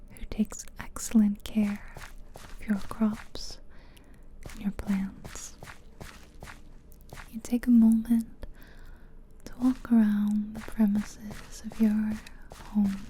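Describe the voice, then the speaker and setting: Female ASMR guide: breathy, soothing whisper, very flat delivery, extremely unhurried, short phrases with pauses, close-miked. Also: mid-range voice, slight background noise. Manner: slow, soothing, pausing between short phrases. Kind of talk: soft-spoken whispered ASMR guided visualization. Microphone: close stereo ASMR microphone. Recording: close ear-to-ear mic, music behind.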